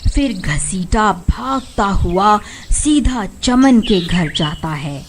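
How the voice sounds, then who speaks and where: A woman's voice: medium pitch, lively intonation, slow, a little hiss or hum. A woman reading scripted horror story narration as the storyteller. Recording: music behind the voice.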